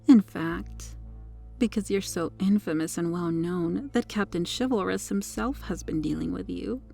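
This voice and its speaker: A smug, possessive superheroine played by a woman speaks in a mellow voice with plenty of creak at the edges. She is flirtatious and condescending, teasing her captive in an intimate ASMR roleplay. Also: fairly low pitch, measured pace, soft background music, exaggerated voice. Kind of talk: ASMR roleplay monologue addressed to the listener.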